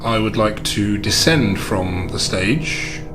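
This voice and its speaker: A man's voice: fairly low pitch, slow, music in the background. A man playing a tabletop game, casually narrating what his character does.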